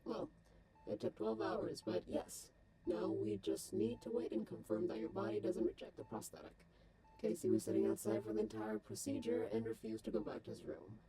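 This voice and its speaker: A woman's voice: rumbling low, expressive, moderate pace, crowd noise, poor audio. A woman voicing a male artificial intelligence modelled on a scientist, dry and detached, with formal, precise, wordy phrasing in a scripted comic dub. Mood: dry, matter-of-fact. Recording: music behind the voice.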